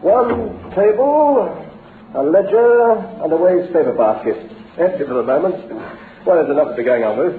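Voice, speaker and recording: Male actor as patronising, falsely jovial businessman for vintage radio drama, highly varied delivery, phone-like sound. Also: animated, moderate pace, natural voice. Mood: glib, apologetic. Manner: hearty, patronising, chuckling. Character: glib, jovial businessman hiding a swindle, falsely encouraging. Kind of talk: old-time radio drama acting. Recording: lo-fi narrow-band radio recording, faint noise, roomy.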